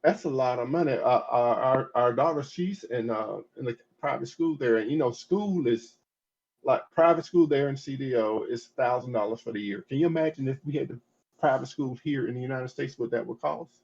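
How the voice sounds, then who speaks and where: A husky man in his fifties talks things through on a casual podcast. He rambles and restarts his sentences, leaning on "I mean" as he goes. The audio sounds dull and lo-fi. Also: mid-range voice, brisk, a little mellow. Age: 50s.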